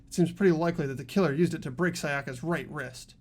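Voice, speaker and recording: A man's voice: a little high, quick pace, close to an everyday voice. A man voicing an earnest teenage boy in a fan-dubbed mystery game, reasoning things out aloud. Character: earnest teenage boy student working out a murder.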